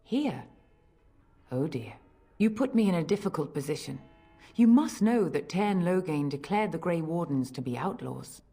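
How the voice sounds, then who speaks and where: A woman playing a stern, guarded head priest in a fantasy video game, formal and measured, with a husky voice. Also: on the low side, lively intonation, mellow. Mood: troubled, guarded. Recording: faint music behind the voice.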